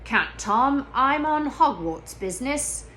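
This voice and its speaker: A woman's voice: high-pitched, expressive, slow pace, pushed and bright, slight background noise, voice pushed up, full character voice. A woman voicing a huge, hearty, plain-spoken man in a storybook reading.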